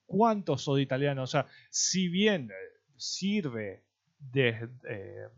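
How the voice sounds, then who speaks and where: A man chatting casually as a podcast co-host, thinking aloud in a halting way. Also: medium pitch, lively intonation, vocal fry, muffled recording. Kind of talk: casual conversational chat.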